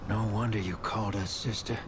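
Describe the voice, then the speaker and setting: An actor playing a practical male ally in a game cutscene, speaking in a relaxed, friendly, unhurried way. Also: lowish voice, background noise, lightly acted. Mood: easygoing. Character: practical male ally, a gear-minded tinkerer. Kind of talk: video game cutscene acting.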